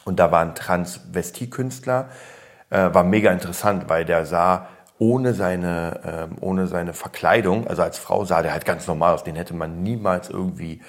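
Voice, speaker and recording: A man from Berlin, born in Poland, hosting a podcast and chatting casually with lots of restarts and fillers. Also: sits low. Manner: rambling, frequent restarts and fillers, blunt. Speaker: podcast host. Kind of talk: casual opinion chat.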